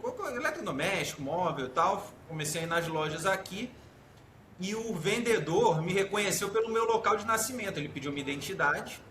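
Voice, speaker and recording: A man with a Rio-leaning Brazilian accent tells stories in a slightly raised voice, full of energy and voicing the people in them. The sound is roomy and echoey, with steady, clearly audible noise behind him. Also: high voice, a little fast. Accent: Rio de Janeiro-leaning Brazilian Portuguese. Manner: lively anecdote teller, acts out dialogue, laughs often. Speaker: judge, podcast guest. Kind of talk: casual storytelling chat.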